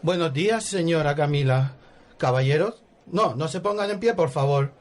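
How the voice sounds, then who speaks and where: Guy doing a pompous bossy state official for a radio soap spoof, high voice, really swoopy. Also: animated, moderate pace, natural voice. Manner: pompous, repetitive. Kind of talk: parody radio soap opera acting.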